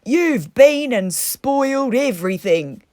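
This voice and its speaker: Woman as a high, dramatic, excitable toad. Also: takes it slowly, no room sound, studio quality. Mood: indignant. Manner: dramatic, exclaiming delivery. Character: boastful, excitable, melodramatic male toad. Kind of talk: children's storybook character reading.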